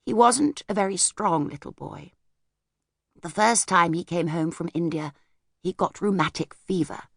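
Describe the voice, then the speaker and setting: A woman voicing a reserved, wistful older lady in an audiobook, speaking gently and hesitantly as she looks back. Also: fairly low pitch, unhurried, creaky, no room sound, clean studio sound. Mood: reminiscing. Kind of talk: audiobook character voice.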